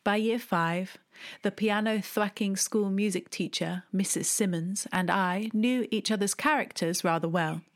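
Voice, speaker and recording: A woman, telling a personal memoir in a wry, deliberate way, with hushed asides. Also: medium pitch. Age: 30s. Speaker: storyteller. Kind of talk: memoir storytelling.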